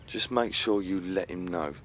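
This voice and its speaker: A man playing a calm, curt, quietly menacing older gang boss in a comic radio play. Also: sits low, normal speed, slight background noise.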